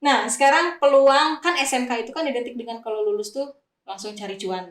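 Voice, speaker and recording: Casting: female podcast host, informal and chatty, higher-pitched voice, echoey room. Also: fairly even intonation, fairly quick, a bit bright. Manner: informal, chatty, frequently echoing the guest. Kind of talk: casual chat interview.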